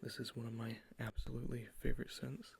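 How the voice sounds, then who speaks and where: A man speaks softly and closely into the microphone with a gravelly, fried edge to his voice. His pitch sits at an ordinary middle height but stays very flat, never rising or falling much. He pitches cologne with quiet enthusiasm, as in an ASMR roleplay, over a faint hiss. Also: quick pace. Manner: soft-spoken, enthusiastic sales pitch.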